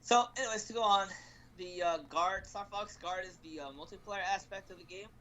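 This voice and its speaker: A man co-hosting casual gaming talk, halting as he searches for his words. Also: high voice, pushed and bright, rough recording. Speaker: co-host of a gaming news show.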